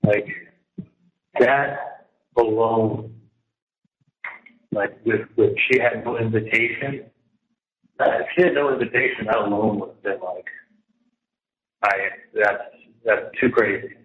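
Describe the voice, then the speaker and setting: A man's voice: lowish voice, slow, long pauses. A man talking casually and at length on a jail phone call, emphatic and repetitive, often trailing off mid-sentence. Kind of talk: casual, rambling private phone conversation. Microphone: telephone handset. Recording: muffled, noisy, echoey jail phone line.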